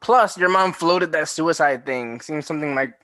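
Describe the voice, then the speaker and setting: A man's voice: fairly high pitch, moderate pace, a bit bright, dry recording, natural voice. A man playing an earnest, oblivious sixteen-year-old who chats in casual bro slang in a comedy table read. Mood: earnest, defensive.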